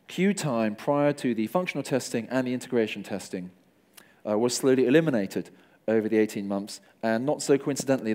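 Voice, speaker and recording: A man's voice: mid-range voice, warm tone. A middle-aged man giving a conversational conference talk on stage, wry and joking with the audience. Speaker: conference speaker.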